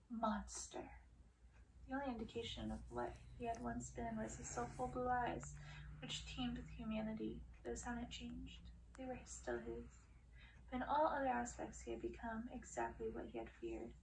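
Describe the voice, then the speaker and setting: A woman with a fairly high, strongly creaky voice reads a dark fairy tale in ASMR style. She tells it dramatically, drawing out words and letting phrases trail toward a whisper. The mic sits somewhat distant, with faint noise behind her. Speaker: audiobook reader. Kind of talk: ASMR reading of a dark fairy-tale story, breathy and hushed in places.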